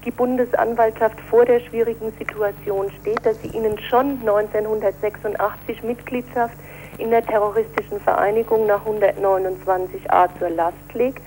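Measured woman lawyer over noisy hum. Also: mid-range voice, level tone, darkish tone. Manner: measured, explanatory, formal legal wording. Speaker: defence lawyer being interviewed. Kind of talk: spoken interview answers.

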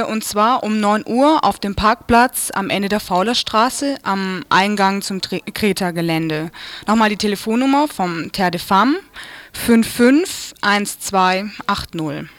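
A woman reading informal radio announcements in a halting way, repeating the details. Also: mid-range voice, expressive, fairly bright tone, no echo. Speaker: radio presenter.

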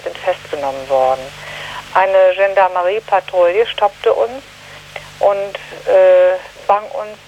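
A woman giving a spontaneous eyewitness account, earnest and halting as she searches for her words. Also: mid-range voice, a little hiss or hum, poor audio. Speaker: human rights delegation member giving eyewitness account.

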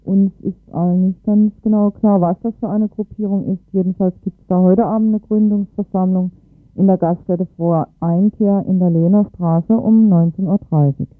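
Man, high-pitched, radio news announcer, dry sound, thin band-limited recording. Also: fairly even intonation, moderate pace, no echo, phone-quality sound, soft background music.

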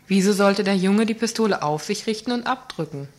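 A woman's voice: mid-range voice, a little fast, darkish tone. A woman reading a scripted report on a community radio news program, earnest and questioning, with small slips.

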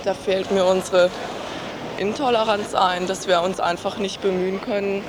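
Woman giving opinions amid loud street noise. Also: medium pitch, little pitch movement, normal speed. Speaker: interviewed member of the public. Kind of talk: spontaneous personal opinion. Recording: loud urban outdoor traffic noise.

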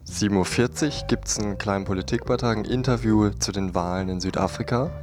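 A man's voice: on the low side, a little fast. A man co-presenting a radio show, announcing the programme. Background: guitar music.

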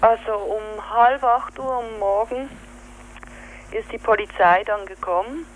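A woman with a Swiss German accent, telling in an interview what happened, halting with fillers and searching for words. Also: a little high, muffled recording, slight background noise. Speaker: activist interviewee. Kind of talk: spoken account in an interview.

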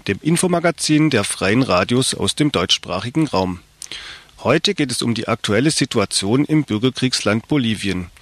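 A man's voice: mid-range voice, dry recording. A man presenting a radio news magazine, reading scripted reports and introductions.

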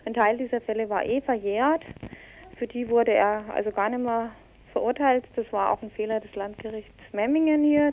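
A woman explains things step by step in a colloquial, unscripted interview. Her voice sits at an average pitch, and the recording is dull and lo-fi, a bit like a telephone. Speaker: interview guest, activist. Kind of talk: spontaneous explanatory interview answers.

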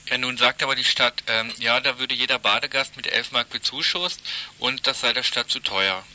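A man's voice: medium pitch, moderate pace, bright, forceful tone. A man asking informal questions as a radio interviewer.

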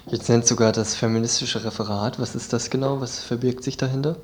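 A man's voice: mid-range voice, fairly even intonation, brisk, a little hiss or hum. A man interviewing guests in a casual, conversational radio style.

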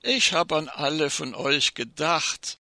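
A man's voice: fairly high pitch, pushed and bright, no echo, heavily acted. A man playing danger personified as a sinister, falsely courteous guest, reciting satirical verse theatrically, slyly savouring each phrase. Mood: gleefully inviting. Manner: mock-polite, sly, deliberate, savouring each phrase.

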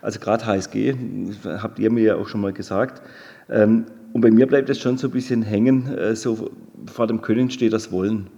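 Male committee chair, lowish mellow voice, conciliatory and halting, repeats words, reverberant hall. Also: steady tone, highs cut off. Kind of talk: spontaneous meeting reply. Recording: echoey meeting hall.

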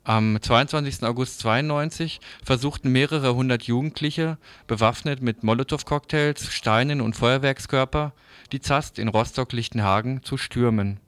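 A man reading scripted radio news previews as a presenter, in a formal, measured manner. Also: medium pitch, a bit bright, clean tone, dry recording.

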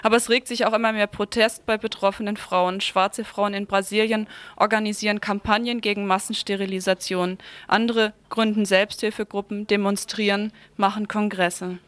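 A woman reading out a scripted political report for radio at a medium pitch. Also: little pitch movement, moderate pace, few pauses, clear, resonant tone, no room sound.

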